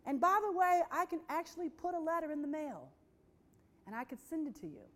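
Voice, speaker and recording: A woman's voice: high-pitched, fairly quick. A woman giving a presentation to an audience, rhetorical and repetitive, asking listeners questions and briefly voicing quoted people. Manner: rhetorical, repeats phrases, asks the audience questions; voices quoted people with lively shifts. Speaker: speaker on workplace diversity.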